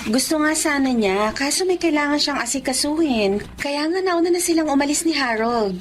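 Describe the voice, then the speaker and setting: A woman's voice: sits high, animated, normal speed. A woman playing a sweet, trusting young wife in a Filipino drama, friendly and teasing. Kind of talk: Filipino radio-style drama acting. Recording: faint background noise.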